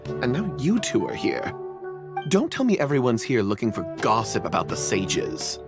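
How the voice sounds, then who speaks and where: A woman's voice: very deep voice, huge pitch swings, moderate pace, heavily acted. A woman voicing a polite but gossipy academy clerk in a video game. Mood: chatty. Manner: courteous, slightly gossipy. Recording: game audio with music behind.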